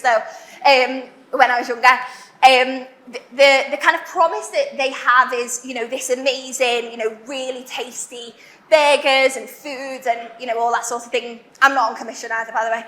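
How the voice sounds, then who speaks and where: Young Liverpool woman, high bright voice, chatty conference speaker, echoey hall. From Liverpool, England. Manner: chatty, self-deprecating, fast with many fillers. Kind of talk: conference talk. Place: large warehouse event hall.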